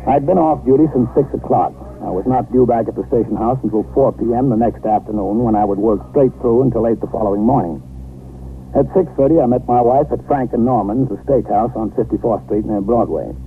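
An actor playing a businesslike, dutiful police captain with an American accent in a 1950s radio drama, matter-of-fact and authoritative. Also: male voice, medium pitch, fairly quick, natural voice. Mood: measured, matter-of-fact. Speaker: narrator and lead actor. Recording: narrow-band, noisy 1950s radio recording.

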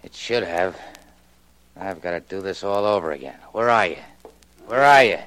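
An actor playing a ruthless crime mastermind in a 1940s radio drama, taunting and menacing. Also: male voice, sits low, animated, normal speed, a bit bright, clear, resonant tone, rough recording. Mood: cold, searching. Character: ruthless, menacing male crime mastermind hunting his victim.

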